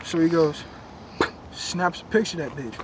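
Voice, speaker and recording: A young man telling a creepy story in casual slang, recorded in a dark room on a camera a few feet away. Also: on the high side, animated, normal speed. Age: young adult. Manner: slangy, laid-back, joking. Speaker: co-host. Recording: noisy camera audio.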